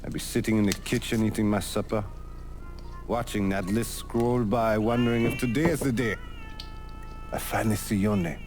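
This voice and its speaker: An actor playing a stern, protective older Southern boss with a folksy, measured way of talking in a video game drama. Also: male voice, fairly low pitch, steady tone, music in the background, natural voice. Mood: weary, worried.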